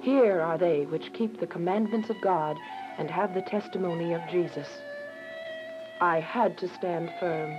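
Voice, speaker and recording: An actress playing a devout, earnest young woman imprisoned for her faith in a religious radio drama, emotive and deliberate. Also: lowish voice, close to an everyday voice. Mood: solemn. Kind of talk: religious radio drama acting with first-person narration. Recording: dull lo-fi radio drama with music.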